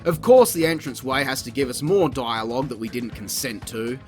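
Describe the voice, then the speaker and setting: A man's voice: mid-range voice, fairly quick, faint music behind. A man hosting a comedic video review, narrating a script with sweary, exasperated sarcasm. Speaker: host of a video review show.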